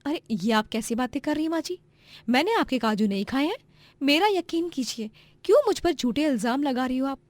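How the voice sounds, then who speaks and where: A young, sharp-tongued daughter-in-law played by a woman narrator from a cartoon moral tale. Her voice is fairly high and close, pleading and arguing with indignation. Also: expressive, a little fast, dry recording. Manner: pleading, argumentative. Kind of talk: animated Hindi moral-story voice acting.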